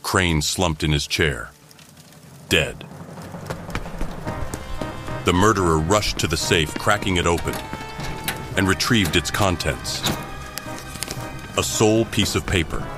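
A man's voice: low-pitched, slow pace, long pauses. A man narrating a scripted crime mystery audio drama as a grave storyteller. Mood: grave, suspenseful. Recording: background music under the voice.

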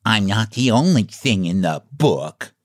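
A man's voice: on the high side, big pitch swings, unhurried, no room sound, voice pushed up, full character voice. A gloomy, sulky clown from a storybook, performed by a man. The voice swoops up and down, often lifted above a normal male register. He grumbles crossly, in a dry sound.

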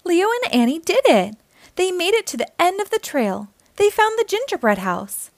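A woman hosting a children's storytime, reading aloud in an animated, encouraging way and speaking directly to young listeners. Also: extremely high pitch, moderate pace, no echo, studio quality.

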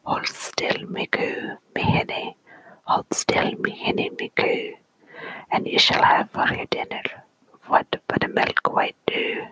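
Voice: takes it slowly, sharp tone, no room sound, rough recording. A storyteller voicing a wee farm boy from a folk rhyme, coaxing and exasperated, whispered with a put-on Scottish accent. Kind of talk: whispered folk tale reading.

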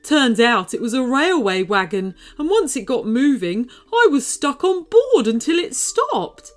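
A woman's voice: sits high, sing-song, unhurried. A woman voicing a fretful cursed shark. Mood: relieved, chatty. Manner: fretful, nervous, then relieved and friendly. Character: anxious cursed male shark, once a snake. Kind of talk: storybook character voice.

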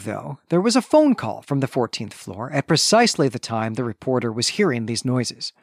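A man's voice: mid-range voice, creaky, dry recording, clean studio sound. A man co-hosting a podcast, recapping and discussing a story in a conversational, storytelling way with rhetorical asides. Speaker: podcast co-host.

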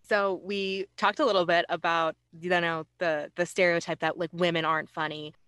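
A woman co-hosting a podcast, asking measured interview questions over a video call. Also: fairly low pitch, flat delivery, a bit bright, clear, resonant tone, no echo, clean studio sound. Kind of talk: casual interview chat.

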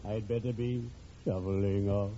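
An actor playing a genial, macabre undertaker in a 1940s radio comedy, speaking with pompous formality and drawn-out theatrical relish. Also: male voice, lowish voice, steady tone, over loud music, lightly acted. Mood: genial, ghoulish. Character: ghoulishly genial, pompous male undertaker, fond of puns. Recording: muffled, noisy vintage radio recording.

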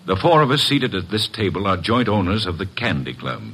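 An actor playing a suave club owner in a radio drama, speaking formally and precisely with smooth sardonic politeness. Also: male voice, low-pitched, very animated, a little fast, sharp tone, exaggerated voice. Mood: suave, controlled. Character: suave, formal male club co-owner hosting a card game. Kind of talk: 1950s radio detective drama acting. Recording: noisy old radio recording.

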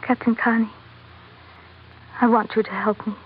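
A woman's voice: a little high, little pitch movement, normal speed, breathy edge. A woman playing a devoted, educated young sultana with an earnest manner in an old radio drama. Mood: pleading. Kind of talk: 1940s radio drama acting. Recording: dull, noisy old radio recording.

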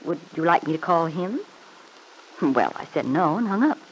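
A woman's voice: sits low, sing-song, normal speed. A scheming middle-aged mother-in-law in a vintage radio drama. Her voice swings expressively through spite, insinuation and self-justification. It comes over a noisy old broadcast recording. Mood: insinuating, confiding. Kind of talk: old-time radio serial acting.

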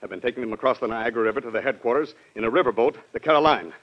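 An actor playing a dutiful, urgent military general in an old-time radio drama, reporting gravely in a formal, emphatic way. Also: male voice, mid-range voice, very fast, lightly acted. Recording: dull old radio broadcast recording.

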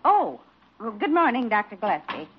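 A woman's voice: on the high side, sing-song, moderate pace, slight background noise. An actress playing a scatterbrained, defensive female hospital employee in 1940s radio style. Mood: flustered. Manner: dithering, indignant. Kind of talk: 1940s radio comedy-drama acting. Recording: dull vintage radio recording.

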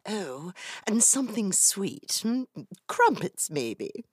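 A woman playing a cheeky, boisterous, gossipy old college friend in a comedic fantasy audio drama, with theatrical drawn-out delivery. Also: mid-range voice, sing-song, darkish tone, husky, no room sound, studio quality, exaggerated voice. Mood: cheeky amusement.

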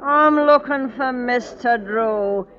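A woman's voice: on the high side, slow pace, a bit bright. An actress playing a tipsy, weepy young Englishwoman in a tavern, in theatrical old radio style. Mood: pleading, tipsy. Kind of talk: old-time radio acting. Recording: muffled old radio recording.

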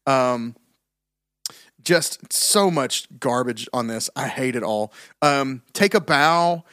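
A man co-hosting a casual music podcast, bluntly dismissive and halting, with frequent restarts. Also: medium pitch, expressive, fairly bright tone, dry recording, clean studio sound. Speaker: podcast co-host. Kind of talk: casual opinionated music chat.

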